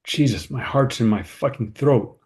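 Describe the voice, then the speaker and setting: A man's voice: medium pitch, normal speed, darkish tone, close to an everyday voice. A man acting an anxious, straight-laced and sceptical character in a naturalistic table read. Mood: nervous relief.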